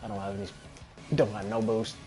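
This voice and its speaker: A man's voice: on the low side, level tone, a little fast, dark tone. A young man giving offhand, chatty, profane commentary on a video game playthrough, with game music behind him. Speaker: gaming video host.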